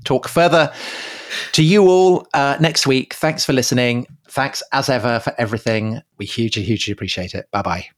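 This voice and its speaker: Co-host, man, mid-pitched voice, halting and chatty. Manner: hesitant restarts, animated when joking. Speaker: podcast co-host. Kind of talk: bantering podcast chat.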